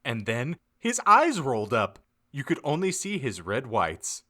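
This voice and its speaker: A man's voice: mid-range voice, huge pitch swings, unhurried, sharp tone. A man reading fan fiction aloud as comic audiobook narration, theatrical and mock-serious. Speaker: podcast host reading an audiobook.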